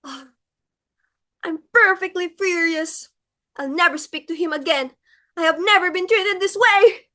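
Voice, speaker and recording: A woman's voice: very high voice, unhurried, pauses often, pushed and bright, exaggerated voice. A woman playing an imperious middle-aged society hostess in a comic stage play, theatrical, exclamatory and easily enraged. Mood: indignant.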